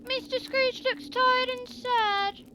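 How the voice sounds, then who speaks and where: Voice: very high voice, fairly even intonation, slow pace, bright, forceful tone, a little hiss or hum, full character voice. A performer playing an eager little Victorian boy in an exaggerated radio play style. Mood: calling out.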